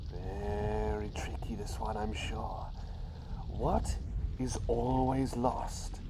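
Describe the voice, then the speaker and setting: A man's voice: fairly low pitch, drawn-out words. A man voicing a playful talking bird doctor in an ASMR roleplay, posing riddles in a teasing, suspenseful way and repeating his phrases. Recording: clear steady background noise.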